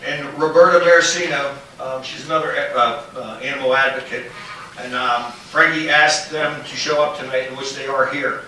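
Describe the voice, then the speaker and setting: A man's voice: medium pitch, fairly even intonation, normal speed, bright, forceful tone. Hesitant man in an echoey chamber. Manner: hesitant, many ums and restarts. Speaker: city council member chairing meeting. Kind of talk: public meeting discussion. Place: council chamber. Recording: very echoey hall, distant, some noise.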